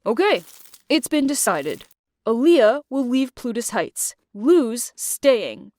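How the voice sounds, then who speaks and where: A woman's voice: a little high, big pitch swings, slow, darkish tone, no echo. A woman doing a blunt, hot-tempered young man. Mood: firm.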